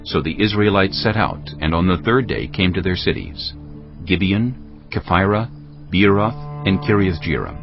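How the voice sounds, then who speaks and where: A man narrating a dramatized Bible reading in a solemn, measured storytelling manner. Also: low voice, very animated, creaky, lo-fi sound. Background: background music.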